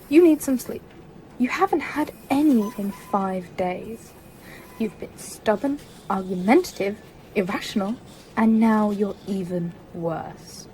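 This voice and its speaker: A woman playing a sensible, candid scientific colleague in an audio drama, frank and gently chiding. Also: medium pitch, a little hiss or hum, natural voice, rough recording.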